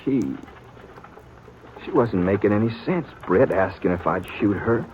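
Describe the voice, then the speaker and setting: An actor with an American accent playing a stubborn, bewildered rancher in a 1950s radio western, wounded and plain-spoken. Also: male voice, fairly low pitch, animated, measured pace. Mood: hurt, bewildered. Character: stubborn rancher bewildered by his sister's betrayal. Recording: muffled, noisy old radio recording.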